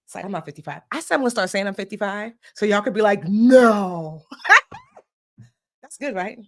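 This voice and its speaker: A sassy woman in her forties hosts a live money session. Her voice sits at an ordinary middle pitch, and she turns playful as she teases and talks straight to her viewers. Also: expressive, moderate pace. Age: 40s. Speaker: personal finance host. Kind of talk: live-stream teaching chat.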